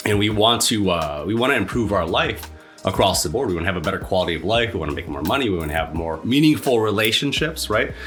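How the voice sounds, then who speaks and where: A man's voice: lowish voice, expressive, quick pace, fairly bright tone, soft background music. A man with a congested, stuffy voice hosting his own sobriety channel, chatting casually, laughing at himself, full of fillers and restarts. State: congested from allergies. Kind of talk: casual solo video talk.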